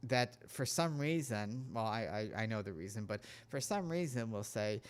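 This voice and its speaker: A man's voice: fairly low pitch, animated, normal speed, clean tone. Earnest, preachy man. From United States. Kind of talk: casual talk-show monologue.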